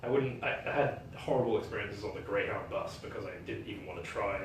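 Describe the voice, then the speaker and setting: A man's voice: on the low side, fast talker. Rambling English expat man, echoey room. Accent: English expat, mixed colonial. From England. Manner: rambling, many fillers, laughs often. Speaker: game designer guest. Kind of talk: casual interview chat. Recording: echoey room, distant mic, faint noise.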